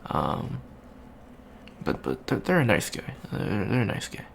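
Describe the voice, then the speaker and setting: A man playing an awkward, bookish young alien college student in an ASMR roleplay, talking softly and hesitantly with many ums and stammers. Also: on the low side, very animated, a little slow, gravelly, a little hiss or hum, heavily acted. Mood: awkward, earnest. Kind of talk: soft-spoken ASMR roleplay.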